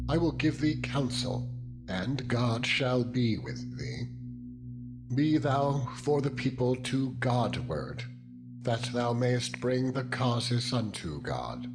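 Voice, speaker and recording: A man reading scripture as a dramatized narration in a solemn, measured, deliberate manner. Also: medium pitch. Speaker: Bible narrator. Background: background music with tuning-fork-like tones.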